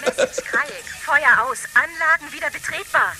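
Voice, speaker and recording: An actress playing an announcer of flash messages in a satirical radio play, in clipped telegraphic phrases. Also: female voice, high voice, moderate pace, over music, natural voice. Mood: clipped, official.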